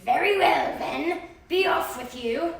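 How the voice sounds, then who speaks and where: A woman voicing a great ugly male troll under a bridge, drawing out slow threats. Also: very high voice, lively intonation, creaky, slight background noise, full character voice. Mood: menacing. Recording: echoey room from a distance.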